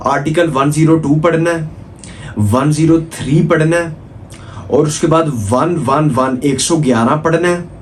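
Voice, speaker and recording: A man's voice: mid-range voice, a little hiss or hum. A man with a Pakistani accent giving an informal explanatory law lecture, mixing in English terms and repeating key points in a didactic way.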